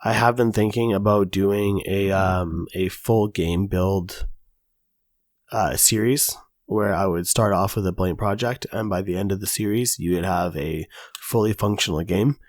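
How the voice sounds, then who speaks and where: Raspy man explaining code casually. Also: low voice, unhurried, no room sound, clean studio sound. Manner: casual, chatty, many fillers. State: raspy voice from recovering illness. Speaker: tutorial host. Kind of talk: screen-recorded coding tutorial.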